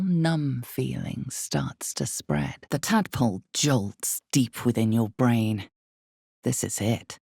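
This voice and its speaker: An all-knowing fantasy game narrator with a very low female voice thick with creaky gravel. She tells the story slowly and deliberately, with grave, ominous drama, recorded very dry and close. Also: warm tone, no room sound, exaggerated voice.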